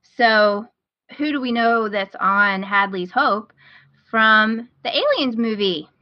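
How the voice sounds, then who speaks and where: A woman's voice: on the high side, lively intonation, slow, no room sound, highs cut off. A woman hosting a podcast, talking casually in a chatty, enthusiastic way about things she recommends. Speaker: podcast host.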